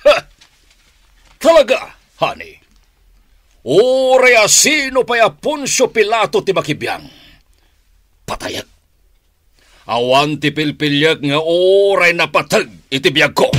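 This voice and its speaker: A man's voice: high voice, fairly bright tone, dry recording, heavily acted. An actor with an Ilocano accent playing a ruthless, boastful male syndicate boss in a radio drama, domineering and emphatic. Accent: Northern Philippine (Ilocano).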